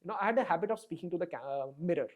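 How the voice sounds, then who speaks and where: A man with an Indian English accent, a podcast guest telling stories in a casual interview, fluent and animated, often adding "right?" and "you know". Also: a little high, rapid-fire, dull audio. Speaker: podcast guest, design executive.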